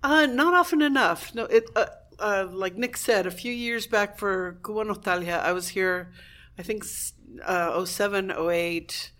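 A woman's voice: medium pitch, moderate pace. Chatty woman guest telling food stories. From Cuban family in Southern California. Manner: animated, laughs often, trails off into fillers. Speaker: guest, blogger. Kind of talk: casual chat.

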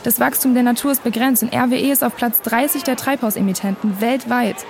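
A cheeky young female student activist acted by a woman talks in slangy, opinionated style with strong vocal fry. Faint outdoor noise sits behind her, as in a naturalistic radio play. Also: fairly high pitch, steady tone, fairly quick, a little mellow. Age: young adult. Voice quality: creaky. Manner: slangy, wry.

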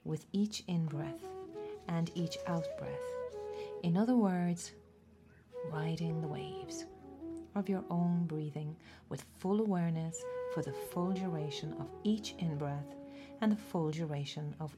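A woman leading a guided body scan meditation, giving gentle, measured instructions with deliberate pauses. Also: low-pitched. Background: music.

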